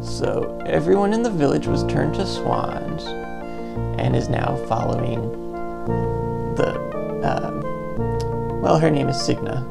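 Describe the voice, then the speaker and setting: A woman narrates a game in a casual walkthrough style. Her voice is low and heavily fried, and she talks slowly over clear background music. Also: sing-song. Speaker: let's play narrator.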